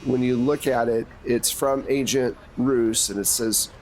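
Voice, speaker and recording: A man's voice: mid-range voice, steady tone, unhurried, a little mellow, a little hiss or hum, poor audio. A man narrating a tabletop roleplaying game as game master, telling the story conversationally and speaking straight to the players.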